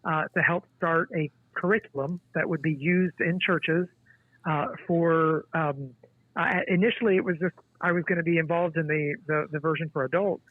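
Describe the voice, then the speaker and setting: A man in his forties, a guest author, speaking in a halting, thoughtful way with many restarts. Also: a little high, fairly even intonation, no echo. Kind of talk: casual interview conversation. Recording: tinny dry phone line.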